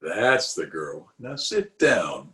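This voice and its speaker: A pompous, flirtatious middle-aged town official from a 1940s-style radio comedy, acted by a man. The delivery is theatrical, unhurried and full of swooping pitch. It comes through a roomy, echoing video-call recording. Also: sing-song, pushed and bright, full character voice. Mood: coaxing, romantic. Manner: blustering, theatrical, wheedling.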